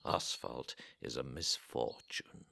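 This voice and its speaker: A man reading an atmospheric literary story in a measured way, pausing between short phrases, with a gravelly voice that often turns breathy and trails off. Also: extremely low pitch, bright, forceful tone, a little hiss or hum. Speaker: reader of a literary audio story. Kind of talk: slow atmospheric literary narration.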